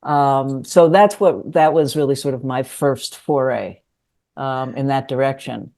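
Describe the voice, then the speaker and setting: An older woman from New York City, a lawyer and writer, answering interview questions in a thoughtful, hesitant way with many pauses. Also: extremely low pitch, mellow. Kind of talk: conversational interview.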